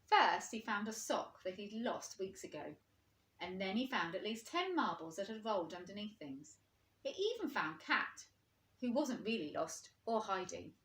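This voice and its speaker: A woman reads a children's story in a very animated, expressive way, as a teacher would. Her pitch is mid-range and moves freely. The room adds a noticeable echo. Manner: expressive, pauses to ask listeners questions. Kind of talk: storybook read-aloud for young children. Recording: roomy, echoing indoor sound.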